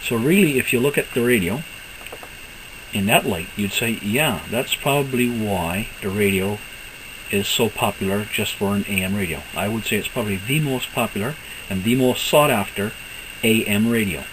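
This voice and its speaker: A man giving a casual, unscripted walkthrough of equipment as a hobbyist presenter, halting and thinking aloud as he goes. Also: on the low side, noisy background.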